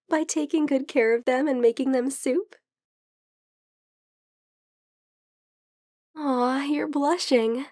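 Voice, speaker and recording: Soft, high-voiced, unhurried vampire girl played by a woman. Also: dry recording, studio quality. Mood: playful teasing. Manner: soft, hesitant, playfully teasing. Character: sick, gentle, teasing female vampire friend. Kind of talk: soft-spoken ASMR roleplay.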